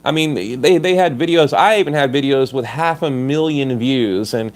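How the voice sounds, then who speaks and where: A man hosting a livestream from his phone, stuffed up and slightly hoarse. He talks bluntly and combatively, reading viewer comments and stumbling over restarts as he goes. His pitch sits in an ordinary middle range. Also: normal speed. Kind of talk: casual livestream rant.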